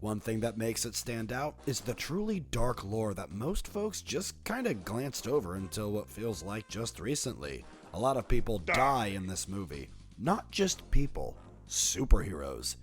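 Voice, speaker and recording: A man's voice: lowish voice, very animated, a little slow. A man narrating a scripted video essay as a horror commentator, in a playful, wry, conversational way.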